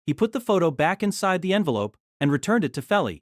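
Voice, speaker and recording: A man narrating an audio drama in scripted storytelling style, expressive and engaged. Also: fast, no echo, studio quality. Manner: expressive storytelling with lively pitch.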